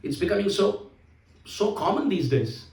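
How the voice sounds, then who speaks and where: A man's voice: sits high, lively intonation, moderate pace, darkish tone. A man giving a sermon, emphatic and repeating his key phrases. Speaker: spiritual speaker. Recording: echoey hall, voice from a distance.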